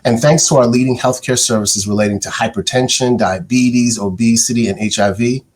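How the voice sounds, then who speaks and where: A man giving formal welcome remarks as a health center chief executive, with halting phrasing. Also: medium pitch.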